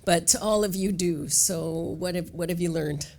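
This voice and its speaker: A woman's voice: on the low side, measured pace, a little mellow. A woman moderating a conference panel, upbeat and enthusiastic as she hands questions to the panelists.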